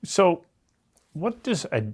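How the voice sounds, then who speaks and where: A man's voice: sits high, very animated, takes it slowly, a little mellow, slight background noise. A man hosting an interview, asking questions in a casual, conversational way. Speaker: interviewer, host.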